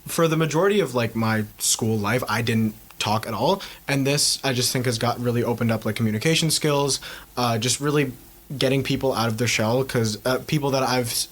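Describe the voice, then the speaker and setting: A teenage student actor answering interview questions hesitantly, with many ums and restarts. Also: mid-range voice. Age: teens. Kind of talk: casual interview.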